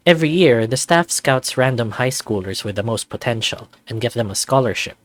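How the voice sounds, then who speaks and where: A man's voice: mid-range voice, expressive, fairly quick, no room sound, faint music behind, natural voice. A man voicing a sarcastic, anxious young furry student in a visual novel, narrating in a wry, self-deprecating, conversational way. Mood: wry musing. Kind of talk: visual novel character voice-over.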